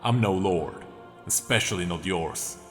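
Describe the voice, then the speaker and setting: A man voicing a hulking, blunt warlord with a rough, growling, common-sounding voice. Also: sits low, a little slow, big-room sound, soft background music, pitched below the performer's own voice. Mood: gruff contempt. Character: hulking brutish male warlord, blunt and gruff.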